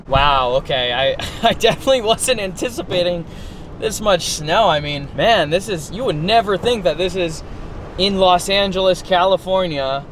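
A man's voice: high voice, expressive, moderate pace, noisy background. A young man vlogging about his camping trip in a casual, chatty way, laughing between remarks, recorded on a camera held at arm's length, first inside a truck and then outdoors in the snow. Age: young adult. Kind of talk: casual vlog narration. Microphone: handheld camera at arm's length.

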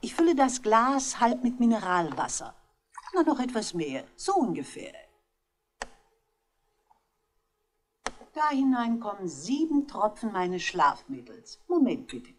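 A woman's voice: a little high, big pitch swings, moderate pace. An older woman playing a genteel elderly French widow in a 1960s German radio crime drama, gracious, coaxing and slyly charming. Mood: matter-of-fact.

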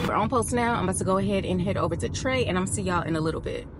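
A woman's voice: medium pitch, loud music behind the voice. A young woman, chatting and confiding to her phone camera from a parked car. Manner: chatty, confiding, drawn-out pauses. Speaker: vlogger. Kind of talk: casual chat to camera. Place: parked car, indoors of vehicle. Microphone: phone camera on dashboard. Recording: car with road noise behind.

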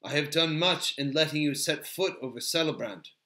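A man voicing a stern, dignified male elf sentinel in a storybook, formal, measured and commanding. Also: fairly high pitch, sharp tone. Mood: formal, firm. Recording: roomy, echoing sound.

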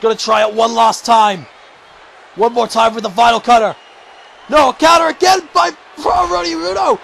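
A man calling a video game wrestling match play-by-play, shouting excitedly and going hoarse. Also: moderate pace, some chatter behind. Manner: shouting, high-pitched and strained, losing his voice. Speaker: commentator.

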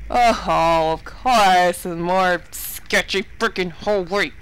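A woman's voice: fairly low pitch, takes it slowly, smooth, rough recording. A woman giving casual horror game commentary, exclaiming, swearing and muttering asides to herself. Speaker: let's play gamer.

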